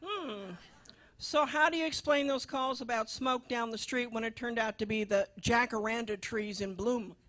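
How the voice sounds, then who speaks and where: Sarcastic female landlady for radio comedy, played by a woman: fairly high voice, dry, needling, money-minded. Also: steady tone, normal speed, a bit bright, natural voice. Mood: exasperated, sarcastic. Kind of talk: comic radio-play acting.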